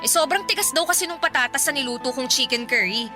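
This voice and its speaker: A woman's voice: high-pitched, animated, fast talker, over music. A woman playing an exasperated, nagging young wife and mother in a Tagalog radio drama, complaining emphatically. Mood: aggrieved, complaining.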